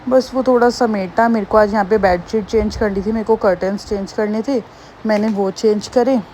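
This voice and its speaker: A woman in her thirties with a slightly hoarse voice, chatting homely and rambling through her daily vlog. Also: mid-range voice, steady tone, moderate pace, warm tone, slight background noise. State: hoarse, slightly sore throat. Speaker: vlogger. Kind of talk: casual daily-life vlog narration.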